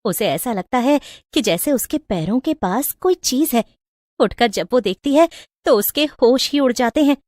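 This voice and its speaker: A woman's voice: a little high, expressive, normal speed, a little mellow, no room sound. Female storyteller for scripted moral tales, close and dry recording. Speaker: story narrator.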